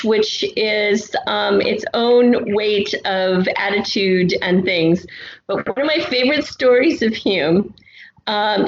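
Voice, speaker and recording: A woman on a podcast talking casually and telling a story, deliberate and pausing as she explains. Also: medium pitch. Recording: roomy, dull sound with faint noise.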